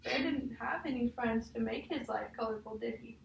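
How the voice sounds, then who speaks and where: A woman's voice: fairly high pitch, normal speed, creaky. A woman teacher talking through a picture book with a child, guiding and often checking for agreement. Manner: guiding, often ends statements with a checking "huh?". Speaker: teacher leading a read-aloud. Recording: roomy, distant mic, faint noise.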